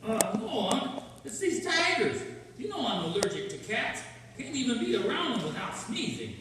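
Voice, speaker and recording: An actor playing an eager, excitable young boy with slangy talk in a radio play. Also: mid-range voice, moderate pace, lightly acted. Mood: excited. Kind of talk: stage radio-play acting. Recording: echoey hall from far off, noisy.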